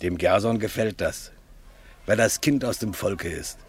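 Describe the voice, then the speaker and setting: A worldly, sly cleric in a classic radio play. The voice is lowish and husky, with a sardonic, cynical manner. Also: male voice, measured pace. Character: cynical, worldly male cleric, slyly amused. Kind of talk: classic German radio drama dialogue.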